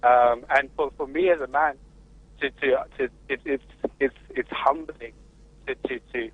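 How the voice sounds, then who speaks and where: A man's voice: mid-range voice, steady tone, unhurried. A man from Zimbabwe with a Zimbabwean accent, a father on a radio panel calling in from the UK, talking hesitantly with many restarts. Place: remote call from the UK. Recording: dry, phone-like line.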